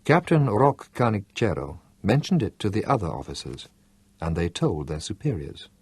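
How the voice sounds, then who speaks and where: A man narrating a novel as an audiobook, in a measured, expressive storytelling manner. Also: on the low side, breathy edge, no room sound. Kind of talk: scripted narration.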